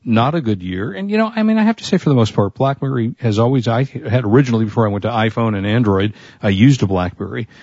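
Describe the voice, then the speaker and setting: A man co-hosts a relaxed tech talk show with a husky voice that is starting to give out. His tone is mellow and dark. The recording is dry and a little dull. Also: mid-range voice, quick pace, few pauses, dry recording. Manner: chatty, offers product rundowns and opinions. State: losing his voice. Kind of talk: casual tech chat.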